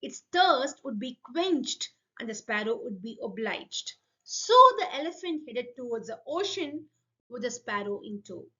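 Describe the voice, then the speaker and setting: High-voiced, animated woman storyteller. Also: a little slow, lo-fi sound. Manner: expressive, addresses listeners directly. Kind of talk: scripted children's folk tale narration.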